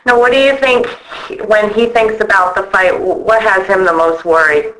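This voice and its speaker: A woman's voice: mid-range voice, moderate pace, a bit bright, a little hiss or hum, low-quality recording. A woman asking questions in a casual phone interview, in an informal, chatty way.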